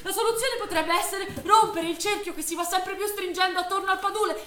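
An actress playing a grave narrator and witness of a wartime massacre, in a dramatic stage style. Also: female voice, extremely high pitch, fairly even intonation, fairly quick, bright, forceful tone. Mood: grave, urgent. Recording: roomy stage sound, faint noise.

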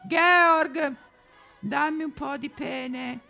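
A man's voice: very high voice, steady tone, drawn-out words, vocal fry, bright, forceful tone, pitched above the performer's own voice, full character voice. A man playing an elderly retired German pope in a satirical stage monologue, with a German accent, mock-solemn sing-song preaching and petulant complaints. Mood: mock-pious, plaintive. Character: elderly retired German pope, whiny, pious but foul-mouthed.

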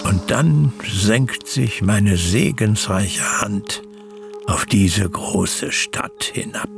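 A man's voice: on the low side, lively intonation, creaky, full character voice. An actor playing a boastful self-made socialist schemer in a radio play, speaking grandly and savouring his words. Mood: grandiose, dreamy. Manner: grandiose, slow, savouring delivery. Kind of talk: German radio play monologue. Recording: radio drama voice over loud music.

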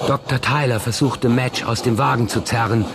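A man narrating a radio drama from a script in a measured, literary storytelling manner. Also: medium pitch, fairly even intonation, a loud crowd. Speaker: radio play narrator and announcer.

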